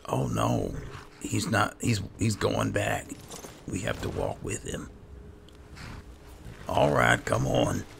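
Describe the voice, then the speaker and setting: A man doing roleplay commentary over a video game, halting and musing to himself as he plays. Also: very deep voice, sing-song, creaky, noisy background. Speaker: gamer narrating in character as he plays. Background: game music.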